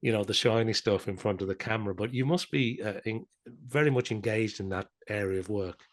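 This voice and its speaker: A man's voice: fairly low pitch, fairly quick. A man hosting a podcast interview in a conversational style, leading gradually and discursively into his questions.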